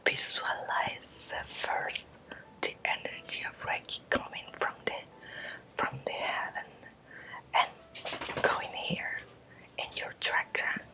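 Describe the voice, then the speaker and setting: A woman, a native Spanish speaker, giving an ASMR guided lesson as a Reiki teacher in a breathy whisper, in halting short phrases with long pauses. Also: bright, forceful tone, low-quality recording.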